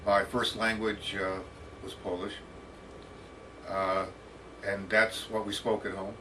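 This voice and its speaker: Deep-voiced American man around sixty, slightly distant. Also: little pitch movement. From United States, Detroit. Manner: deliberate, halting, formal phrasing. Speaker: professor, interview guest. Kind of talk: autobiographical storytelling. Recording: distant mic with faint background noise.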